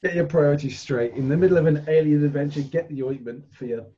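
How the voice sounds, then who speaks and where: A man's voice: fairly high pitch, quick pace, mellow, muffled recording, a little hiss or hum. A man bantering casually with friends as a player in a tabletop role-playing game.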